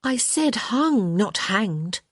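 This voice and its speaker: A pert young society lady, voiced by a female narrator, witty and unrepentant. Her pitch is lifted and lively as she answers back with polite cheek. The storybook dialogue sounds dry and close. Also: very slow, husky, no room sound, exaggerated voice. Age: young adult.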